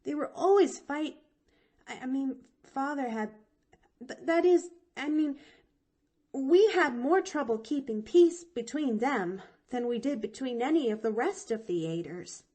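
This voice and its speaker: Woman voicing a cheerful, talkative little girl. Lively, bright-toned pitch, unhurried, folksy drawl. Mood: earnest. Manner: eager, chattering, folksy drawl. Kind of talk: audiobook reading.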